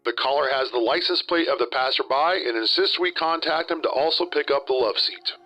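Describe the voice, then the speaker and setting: A man's voice: on the high side, brisk, bright, forceful tone. A man giving formal, matter-of-fact police dispatch reports over a radio. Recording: dull band-limited radio with music behind.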